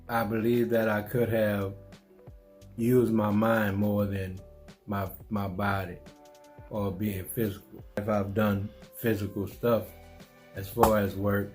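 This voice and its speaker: Middle-aged New Orleans man, low and even delivery, reflective vlog storytelling, faint music underneath. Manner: unhurried, musing, repeats himself with restarts. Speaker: vlogger telling his life story.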